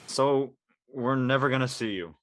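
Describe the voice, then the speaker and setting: A man's voice: medium pitch, normal speed, lightly acted. An actor playing a prying, contrarian teenage boy in casual, slangy drama dialogue. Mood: argumentative.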